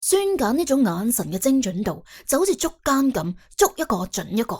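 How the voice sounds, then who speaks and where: A woman narrates a comic crime story in an expressive, conversational storytelling style. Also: a little high, fairly quick, breathy edge. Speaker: audiobook narrator and host.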